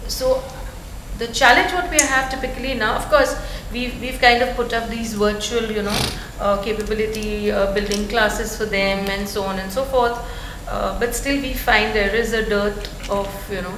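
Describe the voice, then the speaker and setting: A woman's voice: medium pitch, steady tone, moderate pace. Woman with a big voice, rambly, echoey room with noise behind. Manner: loud, rambling, lots of "you know". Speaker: retail executive on a panel. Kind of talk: panel discussion.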